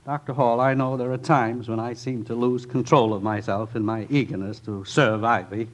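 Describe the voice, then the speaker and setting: A man's voice: mid-range voice, fairly even intonation, moderate pace, close to an everyday voice. A man playing a fussy, overeager college board official in a 1950s radio sitcom. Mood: weary, earnest.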